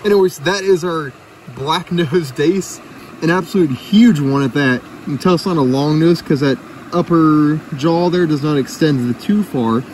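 A man's voice: a little high, measured pace, a little mellow, low-quality recording. A young man with a slightly raised voice narrates his catch by a mountain creek, chatty and full of enthusiasm. He is recorded on a body-worn action camera, with faint outdoor noise and running water behind him. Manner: enthusiastic, chatty, filler-laden. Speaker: fishing vlogger.

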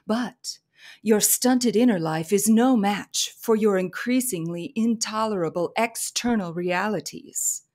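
A woman's voice: medium pitch, a little mellow, close to an everyday voice. A woman playing a patient, analytical psychiatrist in a comedic radio play. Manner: measured, analytical.

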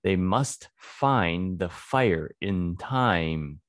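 A man in his thirties giving a read-aloud English phonics lesson for children, deliberate and repetitive, close to a desk microphone in a small room. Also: low voice, animated, dry recording. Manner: deliberate, repeats words and sentences. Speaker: English reading teacher for children. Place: small indoor home studio. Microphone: close desk microphone on boom arm.

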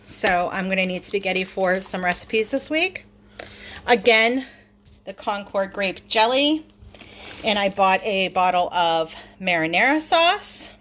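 A chatty middle-aged woman talks through her groceries in a relaxed, upbeat way, often stopping on an "um." Her voice sits at an ordinary pitch for a woman. There is a faint hiss of background noise in her home kitchen. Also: unhurried. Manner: chatty, upbeat, with many ums. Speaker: vlogger showing a grocery haul. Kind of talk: casual chat over a grocery haul.

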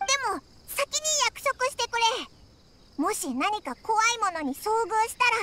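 Squeaky, very high childlike fairy sidekick. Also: female voice, big pitch swings, measured pace, noisy background, exaggerated voice. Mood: frightened, pleading. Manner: exclamatory, rough boyish wording in a childlike voice. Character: small excitable childlike fairy companion, brash yet cowardly. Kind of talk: Japanese game cutscene voice acting.

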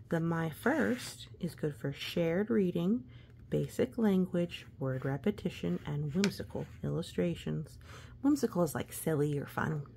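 A woman's voice: on the low side, creaky, mellow, a little hiss or hum. A woman reading a children's story aloud with playful, exclamatory asides, talking as if to a young child. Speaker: picture book reader.

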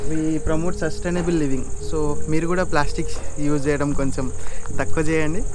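Young guy walking folks round a campsite, slightly high voice, lots of so uh. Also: fairly even intonation, moderate pace, background noise. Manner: explains plainly, mixes English phrases, frequent "so, uh". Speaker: campsite staff member. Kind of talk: guided tour talk. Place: outdoors at a campsite.

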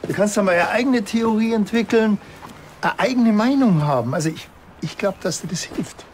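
A man's voice: high voice, animated, normal speed, darkish tone, slight background noise. An actor playing a blunt, grumpy male police detective with a curt, impatient manner. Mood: exasperated.

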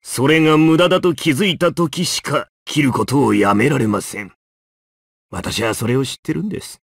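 A man's voice: creaky, slightly rough, no echo, clean studio sound. An actor playing an eccentric, courteous doctor in a video game, philosophical and theatrical in his delivery. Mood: solemn, gentle. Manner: courteous, measured, theatrical, swinging from grave murmurs to high exclamations. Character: eccentric male doctor with a paper-bag head, polite and philosophical. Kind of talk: video game victory lines, theatrical Japanese voice acting.

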